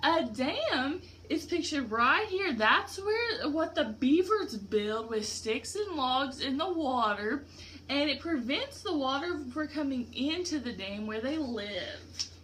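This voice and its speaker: High-pitched, slow-paced woman reading to children. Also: expressive, a bit bright, a little hiss or hum. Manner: instructive, simple explanations with questions to pupils. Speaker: teacher reading aloud to a class. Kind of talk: interactive children's picture-book read-aloud.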